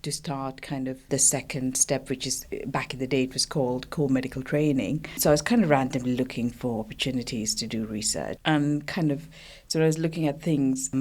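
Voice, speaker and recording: Low-voiced woman cardiologist guest. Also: darkish tone. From Sri Lanka. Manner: hesitant, many fillers and restarts. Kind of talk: casual interview talk.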